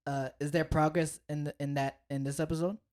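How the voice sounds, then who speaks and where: A woman's voice: low voice, flat delivery, brisk, a little mellow. A woman co-hosting a podcast in casual chat, stopping now and then to hunt for a word and joking about it. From United States. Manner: searches for words, self-deprecating. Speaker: podcast co-host.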